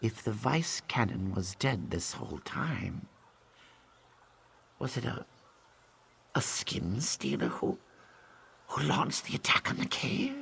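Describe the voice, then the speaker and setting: A man voicing a cocky but earnest and loyal lizardfolk scout and tracker in a video game, with a gravelly voice. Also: lowish voice, big pitch swings, slow, long pauses, a bit bright, a little hiss or hum, exaggerated voice. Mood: shocked, troubled. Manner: tags sentences with a questioning "yes?". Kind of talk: video game character dialogue. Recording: game music under the voice.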